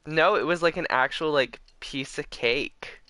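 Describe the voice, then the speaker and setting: A man's voice: on the high side, unhurried, bright, forceful tone, dry recording. A young man chatting casually and in a rambling way as he livestreams a game. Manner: rambling, chuckling. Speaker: live streamer. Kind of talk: casual gameplay stream chat. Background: game music.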